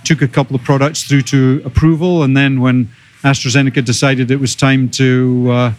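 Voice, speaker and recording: A middle-aged Scottish man speaks as a guest on a podcast. His voice sits at a medium pitch, and he explains things in a measured, detailed way, like an experienced executive in conversation. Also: little pitch movement, a little mellow, dry recording. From Scotland. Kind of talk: conversational interview answers.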